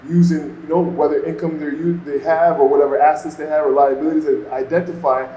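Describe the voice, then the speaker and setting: A man's voice: fairly high pitch, little pitch movement, fast, mellow, highs cut off. A man from Toronto with a Canadian accent, giving conversational, explanatory interview answers peppered with "you know". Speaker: guest, entrepreneur and former financial advisor. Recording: echoey room, background noise.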